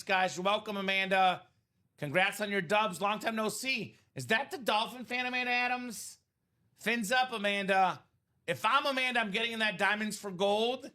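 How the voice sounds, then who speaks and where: Bright-voiced, chatty card break host. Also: male voice, high voice. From Chicagoland area, born in Bulgaria. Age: 30s. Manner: chatty, salesy, addresses viewers by name. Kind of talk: casual live-stream chat.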